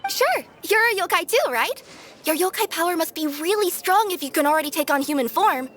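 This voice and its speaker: A woman's voice: very high voice, animated, fairly quick, a bit bright. A woman voicing a cheerful two-tailed cat spirit courier in a video game, breezy and playful. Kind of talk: video game character dialogue.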